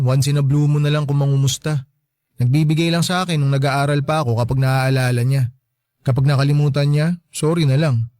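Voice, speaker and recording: A man's voice: medium pitch, darkish tone, no echo, studio quality, close to an everyday voice. A man with a Filipino accent playing a young working man, a hurt but resilient son, talking casually in a radio drama. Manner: casual, conversational. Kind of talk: Tagalog radio drama dialogue.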